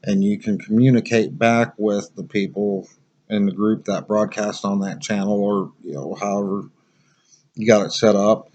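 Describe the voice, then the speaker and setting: A man giving a casual product review in a plain-spoken, halting, conversational way. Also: lowish voice, fairly even intonation, darkish tone, dull audio.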